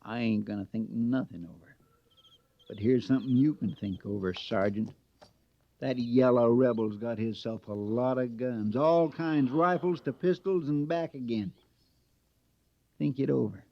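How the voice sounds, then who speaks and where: Man playing a creaky, grumbling frontier army recruit. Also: medium pitch, measured pace, lightly acted. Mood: bitter, needling. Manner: folksy ungrammatical drawl, needling and insolent. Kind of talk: old-time radio western acting. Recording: muffled vintage radio recording.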